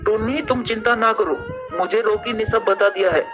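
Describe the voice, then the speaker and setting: A man's voice: high voice, moderate pace, over music, close to an everyday voice. A man voicing an earnest, concerned adult male bird in a cartoon, speaking seriously.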